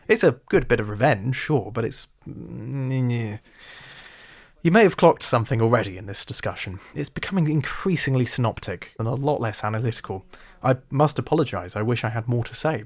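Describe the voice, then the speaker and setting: A man with an English RP accent narrating a scripted video essay in a wry, sarcastic, animated way. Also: medium pitch.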